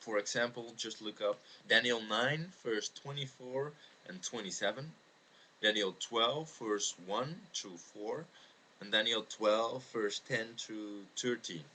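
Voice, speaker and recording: A man with a Dutch accent explains his views in a halting, earnest way, speaking unscripted into a webcam. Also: on the low side, pushed and bright. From the Netherlands. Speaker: amateur video maker answering a viewer's question. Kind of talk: unscripted spoken video response on religion. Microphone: webcam microphone. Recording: noisy home webcam recording.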